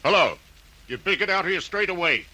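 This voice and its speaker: A man's voice: sits high, lively intonation, a little fast, background noise. A man playing an alarmed caller reporting an emergency, urgent, in an old radio drama.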